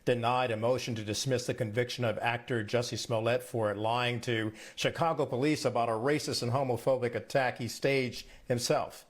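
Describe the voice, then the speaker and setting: A man's voice: medium pitch, fairly even intonation, moderate pace, few pauses. A man working as a news anchor, presenting and interviewing in a live broadcast style.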